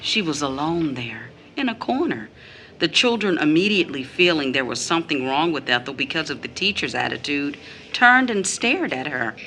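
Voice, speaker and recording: Bitter wounded mother, low bright voice. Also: very animated, slight background noise, heavily acted. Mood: bitter, pained. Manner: deliberate phrasing, quotes others in mimicked tones. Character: bitter, wounded mother recounting her young daughter's mistreatment. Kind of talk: audio drama monologue.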